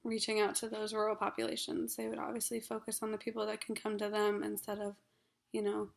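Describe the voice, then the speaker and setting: A young woman from Ohio in her twenties, a graduate counseling student introducing herself for a class, hoarse from a cold, halting with many ums and frequent throat clearing. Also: medium pitch, monotone. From Ohio, USA. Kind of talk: class self-introduction video.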